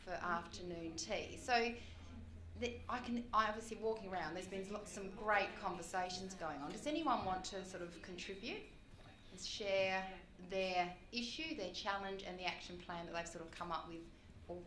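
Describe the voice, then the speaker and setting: A woman's voice: mid-range voice, moderate pace, fairly bright tone. A woman facilitating a workshop session, informal and encouraging as she invites tables to share. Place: conference room workshop. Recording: echoey room.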